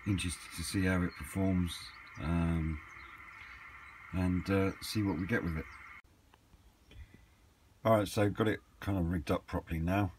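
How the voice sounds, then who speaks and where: A man's voice: low voice, steady tone, a little slow, long pauses. A man chatting casually and off the cuff, hesitant as he thinks aloud in a hobby vlog. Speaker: hobbyist vlogger. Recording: clearly noisy amateur camera recording.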